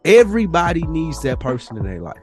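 A man's voice: fairly high pitch, big pitch swings, fairly quick. Emphatic man chatting over music. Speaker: podcast host. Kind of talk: casual chat.

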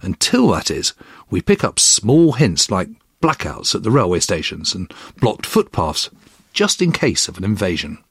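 A man presenting a history podcast with scripted narration in a husky voice. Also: deep voice, huge pitch swings, moderate pace, no room sound, studio quality.

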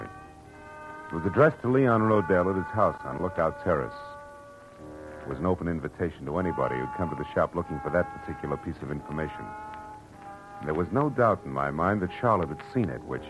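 Wry, hard-boiled American gumshoe, very low voice, clipped slangy delivery, vintage radio drama with music behind. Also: male voice, dull audio. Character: tough, wry hard-boiled male private eye. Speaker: lead actor and narrator. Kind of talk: 1940s radio detective drama acting and narration. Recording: old radio broadcast with music.

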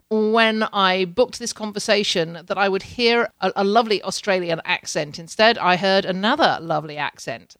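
Chatty woman interviewer, dry close mic, mid-pitched voice. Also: a bit bright, no room sound. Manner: chatty, laughs often, repeats words. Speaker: podcast host. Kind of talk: casual interview chat. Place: home in Canada.

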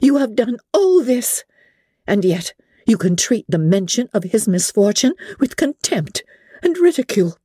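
Storybook heroine, acted by a woman: spirited young gentlewoman, indignant and accusing, lifted swooping pitch, clean dry sound. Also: big pitch swings, unhurried, dry recording. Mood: angry, accusing. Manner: emphatic, formal, cutting.

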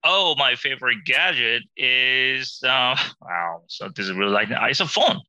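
A middle-aged man from Korea, a guest talking casually in an interview, often pausing to search for words and chuckling. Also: mid-range voice, animated, pushed and bright, dry recording.

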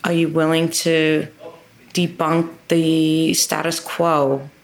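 A woman's voice: deep voice, level tone, slow pace. A woman legislator answering interview questions in an earnest, emphatic way, stacking up lists of repeated phrases. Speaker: state legislator as guest.

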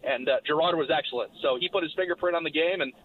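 A man, slightly hoarse, giving a brisk recap of a football game as its broadcaster. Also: a little high, a little hiss or hum. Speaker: play-by-play broadcaster. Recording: phone line.

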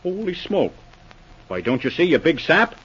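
An actor playing a gruff, impatient police inspector with a sardonic, commanding manner, in 1940s radio acting style. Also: male voice, a little high, big pitch swings, moderate pace, a bit bright, full character voice. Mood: urgent. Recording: muffled, faintly noisy 1940s radio recording.

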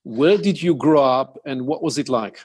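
Deliberate male interviewer, mid-range voice. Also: mid-range voice, darkish tone, breathy edge. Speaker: interviewer, host. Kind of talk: conversational interview questions.

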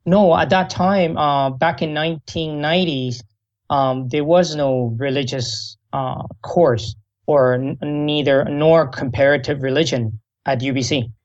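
Middle-aged Taiwan-born man, halting speech. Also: on the high side, steady tone, muffled recording. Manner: slow, halting speech with many fillers. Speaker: guest, Buddhist monk. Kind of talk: interview answers.

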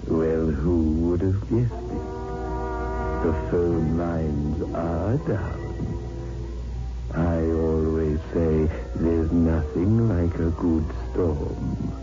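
An actor playing a sardonic, ghoulish narrator who gloats slowly over ghosts in a 1960s radio horror show. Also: male voice, sits low, fairly even intonation. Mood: gloating, sinister. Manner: slow, gloating, drawn-out delivery. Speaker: horror series host. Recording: dull old radio recording with music.

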